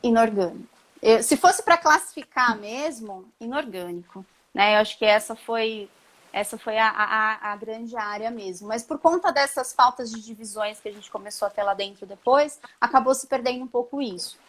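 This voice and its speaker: A middle-aged woman talks over a video call in an easy, rambling way, often checking in with little tag questions. Her voice sits fairly high. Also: moderate pace. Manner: chatty, relaxed, many tag questions and restarts. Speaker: chemistry professor, guest. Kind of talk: casual interview on a live stream.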